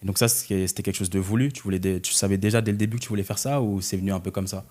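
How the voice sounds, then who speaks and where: A man's voice: sits low, fairly even intonation, racing, darkish tone. A young man interviewing someone casually, asking informal questions and giving short acknowledgements.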